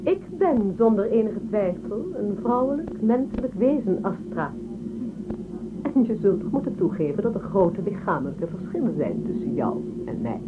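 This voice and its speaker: An actress playing a haughty, probing woman official of a space empire in a radio drama, condescending and measured. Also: mid-range voice, big pitch swings, phone-quality sound, close to an everyday voice. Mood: cool, superior. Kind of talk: Dutch radio drama acting. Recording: thin band-limited, noisy old radio recording.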